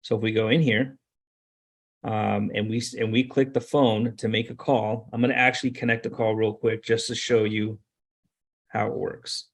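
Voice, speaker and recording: A man giving an informal, step-by-step screen-share tutorial as a software trainer, explaining and repeating points for emphasis. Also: lowish voice, level tone, normal speed, gravelly, husky.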